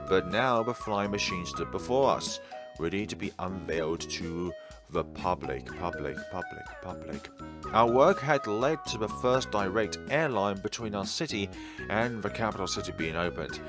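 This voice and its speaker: A man's voice: deep voice, lively intonation, moderate pace, over music. A man hosting a gaming video, giving rambling commentary and reading game text aloud. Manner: rambling, musing asides.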